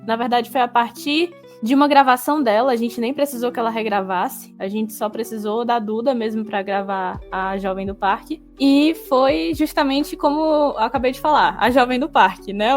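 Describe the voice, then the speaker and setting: Brazilian woman host just chatting, highish voice, soft music behind. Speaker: audio drama group founder and host. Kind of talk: informal retrospective chat.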